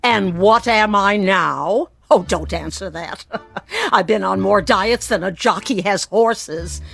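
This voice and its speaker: A woman's voice: medium pitch, slow pace, vocal fry, a bit bright, soft background music, exaggerated voice. An actress playing a brassy, flirtatious, self-mocking woman guest in a radio drama, with a coy, wisecracking drawl.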